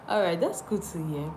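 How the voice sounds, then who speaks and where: A woman's voice: mid-range voice, a little mellow, slight background noise. A young Nigerian woman with a Nigerian accent, chatting casually on a live stream, reading viewers' comments aloud and answering them, often repeating phrases as she thinks.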